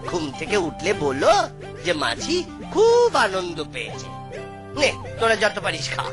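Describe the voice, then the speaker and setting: Woman as kindly cartoon grandpa, sly and teasing, very lively intonation, music behind. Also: medium pitch, normal speed. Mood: sly, pleased. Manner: playful, coaxing, swooping delivery. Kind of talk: cartoon voice acting. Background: background music.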